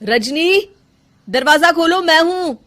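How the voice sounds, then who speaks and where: An earnest young husband from a cartoon story, acted out by a woman. He is concerned and insistent, asking things in a very expressive, lively voice. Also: extremely high pitch, takes it slowly, voice pushed up, exaggerated voice. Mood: upset, insistent. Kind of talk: Hindi cartoon story dialogue.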